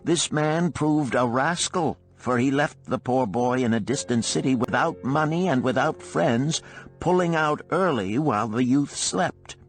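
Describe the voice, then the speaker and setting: A man's voice: mid-range voice, measured pace, faint music behind, pitched above the performer's own voice, close to an everyday voice, low-quality recording. A man voicing a shrewd older gold lender in a storybook, speaking in an archaic, emphatic, declamatory way. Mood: exasperated storytelling.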